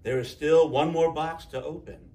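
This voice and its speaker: A patient, kindly grandmother from a children's storybook, read by a man, speaking gently in a fairly high voice. It is recorded a little away from the microphone. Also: moderate pace, recorded from a distance, lightly acted.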